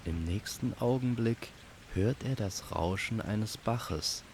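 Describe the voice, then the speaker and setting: A man reads a fantasy tale as a measured storyteller. His voice is low and creaky, and he takes his time with each phrase. Rain is clearly audible behind him. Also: background noise. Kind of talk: scripted fantasy story narration.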